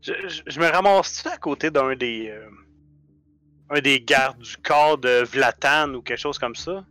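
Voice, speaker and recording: Brief: cocky, joking man voicing his own character, sharp bright tone, trips over his words. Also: mid-range voice, unhurried, dry recording, faint music behind. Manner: playful, boastful, stammering restarts. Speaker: player. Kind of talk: playing a character in a tabletop game.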